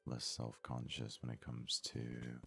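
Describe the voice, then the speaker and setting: A man giving soft-spoken ASMR game commentary in an unhurried, hesitant way, as if thinking aloud. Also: rumbling low, completely flat delivery, creaky, breathy edge, soft background music. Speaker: gaming video host.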